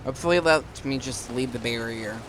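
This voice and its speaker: A man's voice: medium pitch, brisk, a bit bright, slight background noise, rough recording. A man giving casual commentary as the host of a gaming video. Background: game music.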